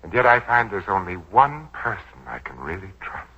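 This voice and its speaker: Suave, low-voiced crime boss. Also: male voice, very animated, moderate pace, background noise. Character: suave, ruthless male crime boss in business dress. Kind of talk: 1940s radio drama acting. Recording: muffled vintage 1940s radio broadcast.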